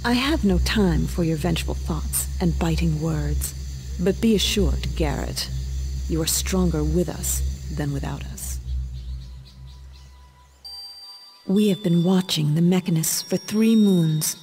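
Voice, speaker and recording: Low-voiced, solemn pagan leader. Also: female voice, dark tone. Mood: solemn, commanding. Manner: measured, formal, grave. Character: solemn, commanding pagan woman leader, proud and mystical. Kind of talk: video game cutscene acting. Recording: music behind voice.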